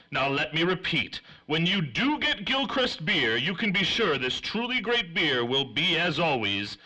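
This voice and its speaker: A man's voice: mid-range voice, sharp tone, rough recording. A man announcing a scripted 1930s radio programme in a formal, showy sponsor-read manner.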